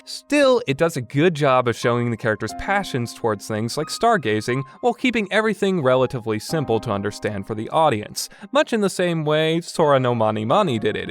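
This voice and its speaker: A man delivering a semi-scripted review commentary in a conversational, opinionated way, like a podcast host. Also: mid-range voice. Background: background music.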